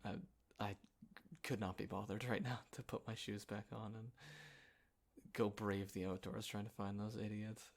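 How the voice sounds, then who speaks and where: A man acting an easygoing, playful young guy in a casual roleplay audio, talking in a halting, chuckling, naturalistic way with a friend. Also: on the low side, level tone, creaky. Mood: amused exasperation. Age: young adult.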